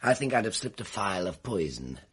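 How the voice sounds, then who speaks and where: A theatrical, embittered man in a radio mystery. His voice is husky and swings widely in pitch, with florid, carefully turned phrasing full of spite. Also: sing-song, moderate pace. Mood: venomous relish. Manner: florid, precise, melodramatic delivery. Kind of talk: radio mystery drama acting.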